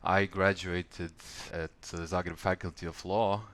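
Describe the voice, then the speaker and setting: A man in his thirties from Croatia with a Croatian accent, speaking in a measured, formal way as a podcast guest. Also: sits low, little pitch movement, a bit bright. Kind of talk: casual conversation.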